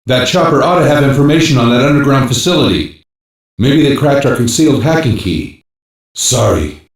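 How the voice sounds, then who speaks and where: A man's voice: medium pitch, expressive, normal speed, lightly acted. Echoey, businesslike male cyber-police hacker played by a man. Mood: serious, focused. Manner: matter-of-fact, clipped technical reporting. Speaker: voice actor in an English dub. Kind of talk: scripted video game voice lines, mission briefing. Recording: very echoey, distant-sounding game audio capture.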